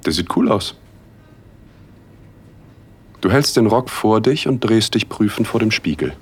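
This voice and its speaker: A man narrating a first-person erotic audio drama in a hushed, intimate, unhurried way, with a husky voice. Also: lowish voice, animated. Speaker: narrator and male lead.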